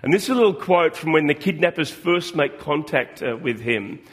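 A middle-aged man gives a sermon in an easygoing, talky way. His voice sits a little high for a man. He often pauses on fillers and restarts his sentences. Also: expressive, breathy edge. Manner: conversational, with frequent fillers and restarts. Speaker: preacher.

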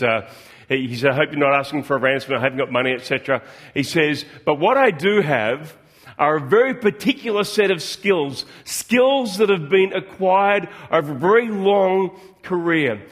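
Chatty man preaching a sermon, higher voice. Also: a bit bright. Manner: conversational, with frequent fillers and restarts.

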